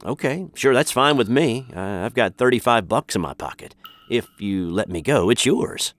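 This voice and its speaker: A man's voice: mid-range voice, expressive, moderate pace, a bit bright, natural voice. A man with an American accent playing a grumpy, hapless prisoner in a comic radio sitcom, sardonic and exasperated. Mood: eager.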